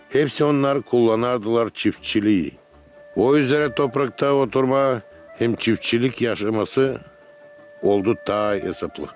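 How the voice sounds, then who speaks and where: A man playing a wise, measured father who tells history to children in an educational cartoon. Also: medium pitch, darkish tone, lightly acted. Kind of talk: cartoon storytelling, educational narration.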